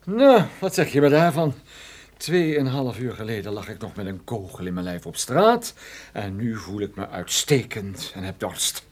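An actor playing a wounded, irascible and flirtatious private detective in a radio play, with a sarcastic, grumbling delivery. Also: male voice, lowish voice, expressive, measured pace, darkish tone. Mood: amused, lively.

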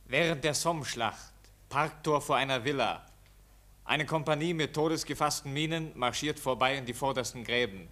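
Forceful male narrator of scene directions. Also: mid-range voice, moderate pace. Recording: noisy old 1940s radio recording.

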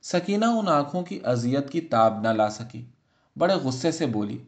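A man with a medium-pitched voice narrates literary fiction. He reads in a measured way, as an audiobook narrator does, giving the prose an expressive storytelling shape.

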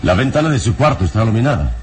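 An actor playing a calm, commanding heroic adventurer in an old radio serial. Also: male voice, lowish voice, racing. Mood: calm, encouraging. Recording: very noisy old radio drama recording.

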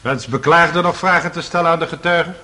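A presiding judge in a radio play. The voice is fairly high and cutting. He is formal and commanding. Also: fairly even intonation, fairly quick, bright, forceful tone. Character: authoritative presiding judge keeping order in court. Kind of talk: radio drama acting.

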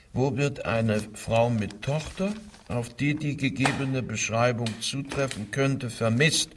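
Guy acting as nervous meek suspect getting questioned, careful n evasive, normal pitch, slight hiss, radio crime play. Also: far from the mic, lightly acted. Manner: hesitant, guarded, deferential. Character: meek, evasive male suspect under police questioning, uneasy. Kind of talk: radio crime drama dialogue.

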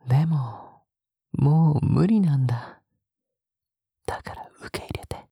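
A woman playing a sweetly possessive, sadistic young male captor in an audio drama, murmuring intimately to the listener in a husky, gently menacing voice that slips into whispers. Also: sits low, expressive, takes it slowly, dry recording, clean studio sound, full character voice. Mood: coolly pressing, then coaxing, whispery. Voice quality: breathy, husky.

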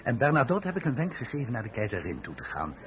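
An actor in a radio play, playing a sly, gossiping marshal at court. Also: male voice, mid-range voice, wildly swooping pitch, quick pace, crowd noise. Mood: sly, amused.

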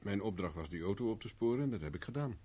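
A wry, unruffled private eye. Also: male voice, on the low side, animated, fast talker, mellow, breathy edge. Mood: defiant, dry. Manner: dryly sardonic, nonchalant. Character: captive male private investigator, wry, cheeky, unruffled. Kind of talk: 1970s Dutch radio drama acting. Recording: faint noise on old radio recording.

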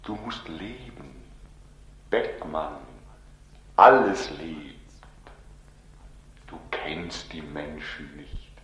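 An insistent, exhorting phantom voice, low and very slow, drenched in echo. It declaims urgently in the style of a 1940s radio play. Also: male voice, slight background noise, full character voice. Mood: pleading. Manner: exhorting, declamatory, swinging from hushed to loud. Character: urgent, insistent male inner voice urging life. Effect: heavy echo. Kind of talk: 1940s German radio drama acting. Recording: very echoey 1940s radio recording.

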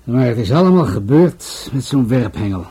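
An actor playing a measured, pensive police detective in a radio drama, turning phrases over as he questions. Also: mid-range voice, big pitch swings, dark tone, husky. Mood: summing up. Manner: ruminative, repeats phrases slowly.

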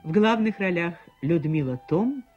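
A woman's voice: lowish voice, lively intonation, unhurried. A woman giving a formal scripted announcement as a radio announcer. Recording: dull old radio recording, music.

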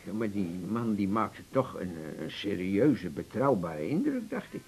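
An actor playing a good-natured, easily swayed husband in a radio play, speaking in a hesitant, hedging way. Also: male voice, lowish voice, very animated, moderate pace, creaky, darkish tone, slight background noise. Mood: mildly sheepish. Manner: hesitant, mumbling polite hedging.

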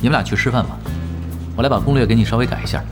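A man's voice: medium pitch, very fast, close to an everyday voice. A man playing a laid-back, cocky young veteran gamer in an audio drama, casual, teasing and self-assured. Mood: calm, knowing. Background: music behind the voice.

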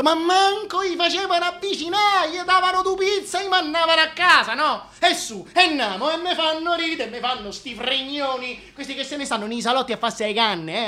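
A man's voice: very high voice, sharp tone, full character voice. An actor with a Roman accent playing a gossipy, indignant working-class supporter of the king in a comic stage monologue, colloquial and exclamatory.

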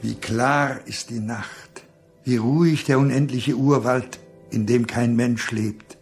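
A grave narrator of a poetic radio drama, speaking slowly in a slightly husky, mid-pitched voice. His delivery is solemn and measured, with music faintly behind. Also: fairly even intonation. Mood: hushed foreboding. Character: grave narrator of a jungle tale.

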